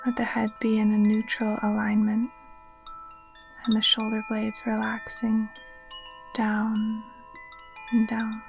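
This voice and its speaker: A woman gently guiding a relaxation meditation in a soft, breathy, near-whispered voice, soothing and unhurried. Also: fairly high pitch, monotone, faint music behind. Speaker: yoga nidra teacher. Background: occasional chimes.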